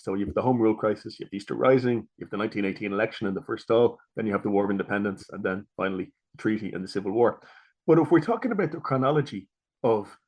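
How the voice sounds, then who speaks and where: A man with an Irish accent hosts a history discussion at a brisk, rapid pace. His voice sits a little low, and he frames questions conversationally for his guest. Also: a little mellow. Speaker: history podcast host. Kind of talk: conversational interviewing.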